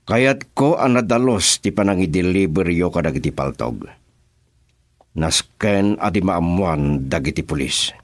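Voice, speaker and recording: Give the radio drama a calculating male crime boss with a Filipino accent. His voice sits low and moves widely as he lays down orders. The sound is dry and close. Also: expressive, a little slow, no room sound. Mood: commanding.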